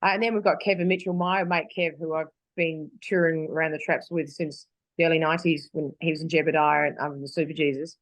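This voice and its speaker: A woman's voice: deep voice, fairly even intonation, a little fast, no room sound, low-quality recording. Australian woman singer, dry close sound. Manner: chatty, rambling with restarts and fillers. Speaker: singer guest. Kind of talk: casual interview chat. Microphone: video call.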